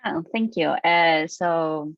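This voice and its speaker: A young woman speaks casually as a panelist. Her voice is low, and the video-call sound is dry and a little dull. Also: slow pace, no room sound. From Chile. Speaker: alumni panelist. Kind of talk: casual self-introduction.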